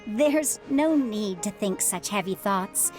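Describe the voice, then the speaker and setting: Calm wise game mom, ex adventurer, lilting delivery, bit of music under. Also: female voice, mid-range voice, takes it slowly. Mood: reassuring. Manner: calm, reassuring, philosophical. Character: reassuring middle-aged widowed mother, former adventurer. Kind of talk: video game voice acting.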